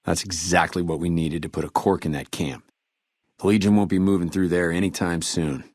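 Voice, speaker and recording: An actor playing a weary, dutiful army trooper in a video game, speaking in a measured, earnest soldierly way. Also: male voice, sits low, fairly even intonation, darkish tone, dry recording, clean studio sound. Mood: grave, businesslike. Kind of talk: video game dialogue acting.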